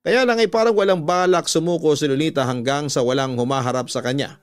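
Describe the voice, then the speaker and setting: A man's voice: on the high side, quick pace, no echo. Filipino male radio storyteller over music. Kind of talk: scripted narration of a listener's horror story. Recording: background music under a dry studio voice.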